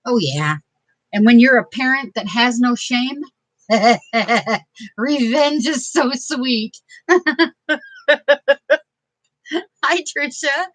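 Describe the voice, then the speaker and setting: A woman's voice: a little high, lively intonation, takes it slowly, dry recording, low-quality recording. A middle-aged woman from Detroit, telling funny family stories in a chatty, laughing way during a craft video. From Detroit, USA. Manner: chatty, laughing, self-mocking humor. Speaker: craft vlogger. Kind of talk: casual storytelling while crafting.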